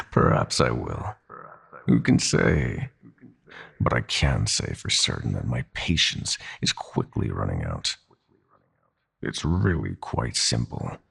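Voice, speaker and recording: A man playing a menacing, gloating god of nightmares in an audio drama, speaking in silky, mocking threats with theatrical slowness. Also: wildly swooping pitch, full character voice. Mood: threatening. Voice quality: very deep, gravelly, breathy. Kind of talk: roleplay audio drama acting.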